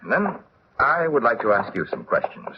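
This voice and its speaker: A man's voice: mid-range voice, very animated, brisk. An actor playing an earnest, suspicious young man, the son of a wealthy household, in 1940s radio style. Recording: muffled, faintly noisy old radio recording.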